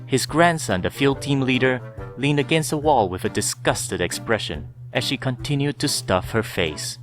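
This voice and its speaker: A man narrating a scripted story in a measured, dramatic storytelling manner. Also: on the low side.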